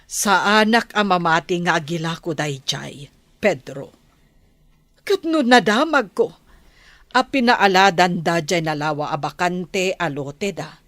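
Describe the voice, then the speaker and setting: A woman's voice: fairly low pitch, expressive, unhurried, fairly bright tone, no room sound. A woman with an Ilocano accent playing a doubting, practical village wife in a radio comedy-drama. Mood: doubtful.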